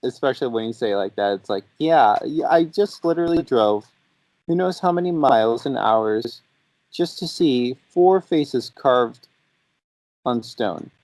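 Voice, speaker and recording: Young man with lively, expressive pitch. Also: measured pace, dry recording, rough recording. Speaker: podcast host. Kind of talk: casual chat.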